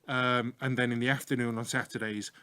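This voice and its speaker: A middle-aged man with a broad Yorkshire accent talks in an easygoing, joking way, like a teacher spinning yarns. His voice sits at an everyday pitch. The sound is close and dry, recorded in a small room. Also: little pitch movement, brisk, bright, forceful tone, clean tone, dry recording. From north of England. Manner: chatty, humorous storyteller. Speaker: IT teacher and show host. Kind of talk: casual radio chat.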